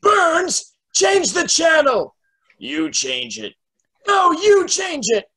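A man voicing a crabby, cantankerous old man squabbling loudly in an amateur cartoon table read. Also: extremely high pitch, huge pitch swings, takes it slowly, pitched above the performer's own voice, heavily acted. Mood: crabby, shouting. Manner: loud, cantankerous.

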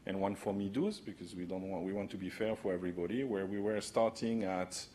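Male speaker, 40s, low register, casual technical presenter, podium mic, light room ambience. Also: steady tone, a little fast, mellow, slight background noise. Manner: casual explaining with frequent "right?" tags. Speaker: conference speaker. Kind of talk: technical conference talk. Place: conference room, large indoor hall. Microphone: podium microphone.

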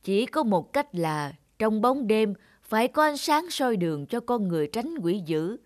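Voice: mid-range voice, sing-song, unhurried, dry recording, studio quality. An actor playing a stern, imperious old male sun god in a cartoon fairy tale. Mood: grave.

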